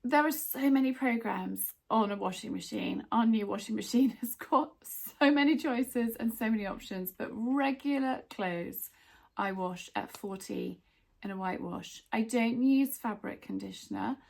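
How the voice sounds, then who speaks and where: A woman giving chatty laundry tips in a casual vlog, sounding a bit croaky. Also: on the high side, normal speed. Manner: chatty, friendly asides to viewers. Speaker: lifestyle vlogger.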